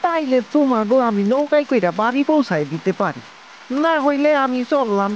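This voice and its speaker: Someone acting a scheming old boatman, high-pitched. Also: lively intonation, fairly quick, highs cut off, a little hiss or hum. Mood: callous bargaining. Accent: rural Bangladeshi dialect. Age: older. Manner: mocking, bargaining, blunt. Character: scheming, callous old male village boatman. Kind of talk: cartoon story dialogue. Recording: voice over music and rain.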